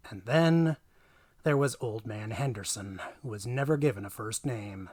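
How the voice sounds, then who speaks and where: A man reading a tall tale as dramatic narration, emphatic and theatrical, swinging between hushed asides and punched lines. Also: lowish voice, moderate pace, breathy edge. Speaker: reader of a tabletop gaming tale.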